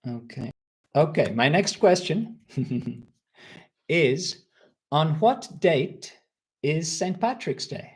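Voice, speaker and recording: A man's voice: fairly high pitch, animated. A man from Ireland with an Irish accent, teaching a live online English lesson and reading out viewers' answers. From Republic of Ireland. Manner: slow, clear teacher talk, reading out viewers' comments.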